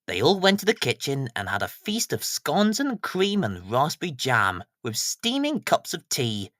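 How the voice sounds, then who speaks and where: A woman's voice: sits low, fairly bright tone, no echo, clean studio sound. A woman narrating a children's story from a script.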